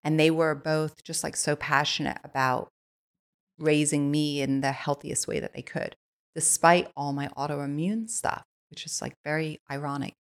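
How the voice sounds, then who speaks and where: A woman's voice: sits low, fairly even intonation, moderate pace. A woman from Switzerland, a guest on a podcast, telling stories in an eager, casual way with many "like" fillers. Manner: enthusiastic, lots of "like" fillers. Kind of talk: casual conversational storytelling.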